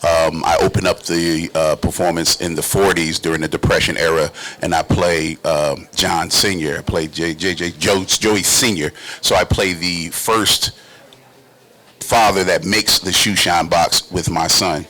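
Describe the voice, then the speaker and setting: A man's voice: sits low, fairly even intonation, unhurried, pushed and bright. A man who hosts and acts, talking casually in an emphatic, slangy way on a talk show. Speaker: talk show host and stage actor.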